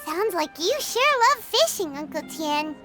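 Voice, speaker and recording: A woman's voice: very high voice, big pitch swings, unhurried, loud music behind the voice, exaggerated voice. A woman voicing a tiny, chirpy female fairy companion in a video game dub, who talks about herself in the third person. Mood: eager.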